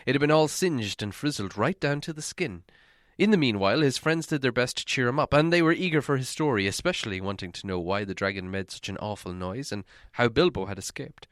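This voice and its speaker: A man reading a story aloud as an audiobook narrator, in an expressive style. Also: mid-range voice, dry recording, clean studio sound. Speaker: audiobook reader.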